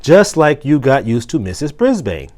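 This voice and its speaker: A man's voice: medium pitch, big pitch swings, normal speed, a little mellow, voice pushed up, lightly acted. A man voicing a friendly janitor in a storybook, explaining things in an emphatic, kindly way. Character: friendly mustached janitor, a man studying to teach. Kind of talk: storybook character dialogue.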